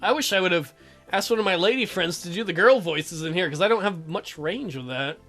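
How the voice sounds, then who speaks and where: A man's voice: sits high, brisk, fairly bright tone. Male let's play host, reading game narration with joking asides over faint music. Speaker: gaming video host. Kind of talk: narrating and joking through a visual novel.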